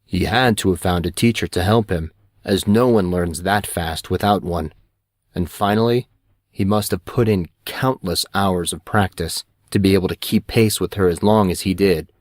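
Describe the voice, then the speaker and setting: Teen boy male narrator, eager, a little sheepish, low pitch, dry recording. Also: normal speed, no echo, lightly acted. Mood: unsure, explaining. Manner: hesitant starts, stammering, emphatic explaining.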